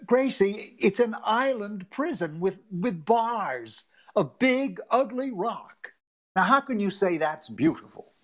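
A man's voice: sits high, big pitch swings, slow, exaggerated voice. A man playing a gloomy, doubting family friend in 1940s-style radio comedy.

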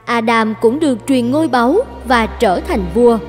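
A woman's voice: fairly high pitch, moderate pace, faint music behind, natural voice. A woman narrating a scripted children's fairy tale.

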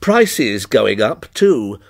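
A man's voice: lowish voice, sing-song, very slow, gravelly, no echo, studio quality, pitched below the performer's own voice, exaggerated voice. A man voicing a scornful, aloof stranger who asks probing, needling questions.

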